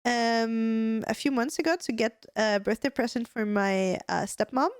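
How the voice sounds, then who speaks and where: A woman's voice: on the high side, unhurried, clean tone, no room sound, clean studio sound. A woman with an Austrian accent, a native German speaker, co-hosting a podcast in casual, rambling chat full of fillers and restarts. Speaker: podcast co-host.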